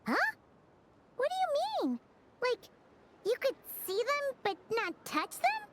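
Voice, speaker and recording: A woman voicing a small, childlike fairy companion in a video game, squeaky, blunt and expressive. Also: slow, exaggerated voice. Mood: puzzled.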